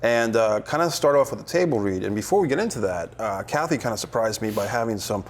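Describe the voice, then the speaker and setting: A man hosting and directing a casual table-read discussion, conversational and halting, repeating words as he thinks. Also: on the low side, lively intonation. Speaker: host and director.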